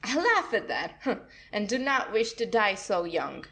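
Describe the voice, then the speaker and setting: A woman's voice: mid-range voice, very animated, unhurried, a bit bright. A woman playing a flippant, defiant young wife in a classic comedy audio play, haughty, theatrical and mock-innocent.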